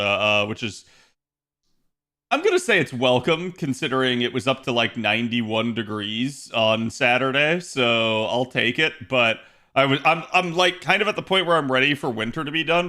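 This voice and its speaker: A man chatting casually with his live-stream viewers. His voice is a little hoarse and raspy, and he rambles, correcting himself often between fillers. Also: mid-range voice, bright, forceful tone. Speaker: game streamer. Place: home.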